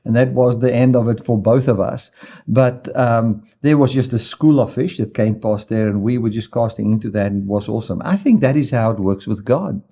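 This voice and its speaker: A man's voice: mid-range voice, moderate pace, dark tone, husky. A middle-aged man with a South African accent, preaching informally in a reflective, conversational way with rhetorical questions and personal stories. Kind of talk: informal sermon with personal anecdotes.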